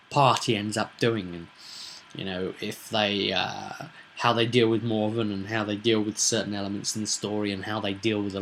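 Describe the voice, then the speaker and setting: A man's voice: on the low side, a bit bright, a little hiss or hum. A man hosting a tabletop roleplay vlog, explaining the game in a casual, rambling, conversational way with frequent asides to the listener.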